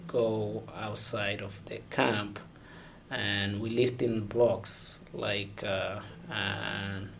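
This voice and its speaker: A man from Somalia, answering interview questions haltingly and searching for words. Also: fairly low pitch, creaky, fairly bright tone, slight background noise. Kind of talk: oral history interview.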